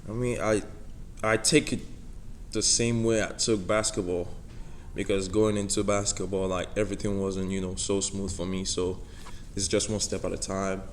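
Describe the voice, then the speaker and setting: A young man with a Nigerian accent answering press conference questions in a soft-spoken way, filling pauses with "you know". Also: deep voice, little pitch movement, slight background noise. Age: young adult. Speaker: college football player.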